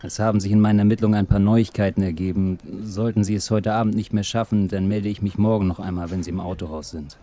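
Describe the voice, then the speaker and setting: Guy acting as tired private eye, low mellow voice, dry and short with people. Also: fairly even intonation, fast, hardly any pauses, lightly acted. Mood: dry, terse. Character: weary, terse male private investigator in a bar. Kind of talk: audio drama acting.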